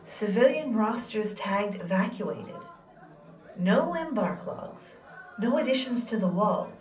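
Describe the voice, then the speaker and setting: An actress playing a sober, cynical soldier, terse and matter-of-fact, in a sci-fi military audio drama. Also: female voice, medium pitch, expressive, slow pace, a little mellow, echoey room, far from the mic, poor audio. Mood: grim, cynical. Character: sober, cynical female soldier reporting findings.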